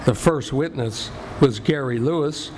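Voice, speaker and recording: A man's voice: medium pitch, a little slow, a little mellow, slight background noise, close to an everyday voice. A man acting as the folksy, tongue-in-cheek storyteller of a western radio play, reading scripted narration. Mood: announcing. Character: storytelling narrator of an Old West legend. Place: live performance at a community center.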